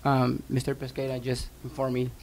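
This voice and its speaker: A man on a school board speaks earnestly in a meeting, urging and filling pauses with "um" and "uh". His voice is gravelly and his pitch stays flat, at a middle level. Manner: earnest, urging, with frequent fillers.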